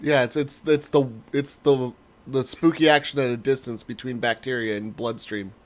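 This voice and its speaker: A man's voice: medium pitch, level tone, moderate pace. A man co-hosting a podcast, giving opinions casually with a sardonic, sarcastic edge. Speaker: podcast co-host.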